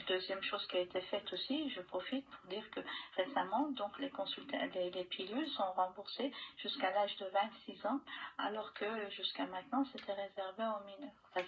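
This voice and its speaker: A woman with a creaky, gravelly voice speaks as a medical expert on the radio. She explains in a didactic, conversational way and restates her points. The sound is a dull, lo-fi shortwave broadcast. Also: mid-range voice, slight background noise. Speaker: doctor, expert guest on a radio call-in.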